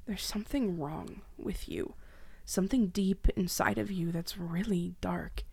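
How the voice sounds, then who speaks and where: A woman acting a guarded, bitter young captive ex-girlfriend in a dark dramatic podcast reading, defensive and often hushed. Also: on the low side, unhurried, gravelly, breathy edge, exaggerated voice. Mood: quiet fear.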